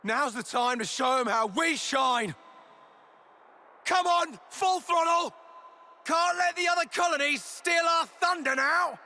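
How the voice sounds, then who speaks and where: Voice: sits high, slow, sharp tone, a little hiss or hum, exaggerated voice. An actor voicing a small, cocky male creature boss in a game cutscene, bossy and boisterous. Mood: fired up, rallying. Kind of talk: animated game cutscene acting.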